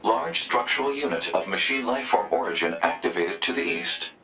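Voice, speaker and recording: Deadpan male robot companion. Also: sits low, moderate pace, soft background music, rough recording. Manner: deadpan, clipped formal phrasing. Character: deadpan male robotic support unit, formal and logical. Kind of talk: scripted game status reporting.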